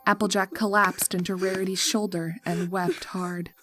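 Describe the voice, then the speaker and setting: A woman narrator reading a scripted horror story in a measured, dramatic way. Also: medium pitch, little pitch movement, fairly bright tone, faint music behind.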